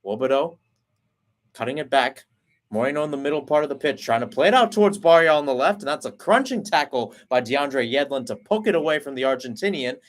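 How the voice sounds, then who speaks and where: A man calling a soccer match live, play by play, in a voice of ordinary middle pitch. He keeps a steady running call of each pass and lifts and pushes louder when an attack builds. He speaks close into a headset mic. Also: a little fast. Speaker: play-by-play commentator.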